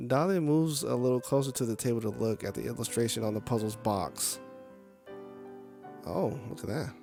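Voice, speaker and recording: A man's voice: mid-range voice, darkish tone. A man streaming a game, reading the story aloud and dropping in casual reactions. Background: music.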